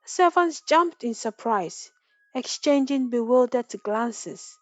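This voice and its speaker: A woman's voice: on the high side, animated, takes it slowly, no room sound. Woman storyteller, dry studio sound. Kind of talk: scripted dramatic folktale narration.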